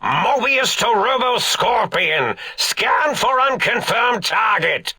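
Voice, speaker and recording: An actor playing a manic, cackling old mad scientist villain in a video game, theatrical and grandiose, with a raspy, gravelly voice and drawn-out delivery. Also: male voice, high voice, animated, sharp tone, heavily acted. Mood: frustrated, commanding.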